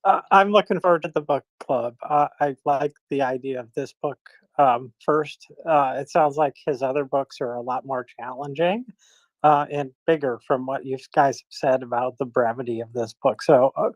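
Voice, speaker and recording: A man's voice: mid-range voice, normal speed, no room sound, highs cut off. A man chatting casually as a participant in a live online audio discussion. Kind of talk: casual chat. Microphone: live online audio chat.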